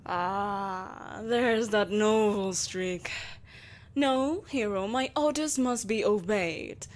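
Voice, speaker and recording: A woman playing a vengeful, possessive empress in an audio roleplay, speaking in a haughty, theatrical and deliberately menacing way. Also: medium pitch. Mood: stern, irritated. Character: vengeful, possessive evil empress, soulless, imperious, coaxing a captive. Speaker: voice actor. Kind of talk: scripted audio roleplay acting.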